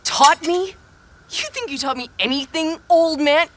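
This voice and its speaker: Feisty young woman, game cutscene, high voice, snappy and defiant. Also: unhurried, a bit bright, slight background noise. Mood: angry, scornful. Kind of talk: video game cutscene acting.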